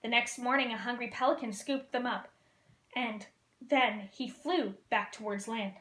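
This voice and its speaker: A woman reading a children's picture book aloud as a costumed storyteller, in a lively, expressive way. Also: fairly high pitch, a little slow. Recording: roomy echo.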